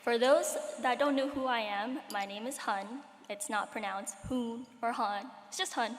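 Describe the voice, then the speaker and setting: A teenage girl who grew up partly in Cambodia gives her commencement speech with dry, self-deprecating humor. Her voice sits high. The speech rings through a big hall with a long reverb tail. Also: normal speed. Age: late teens. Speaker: graduating student speaker. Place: large hall at a graduation ceremony.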